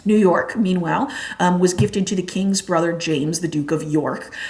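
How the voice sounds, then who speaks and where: Low-pitched young woman, chatty teacher giving an exam review, filler-heavy and casual. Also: normal speed, darkish tone. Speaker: history teacher.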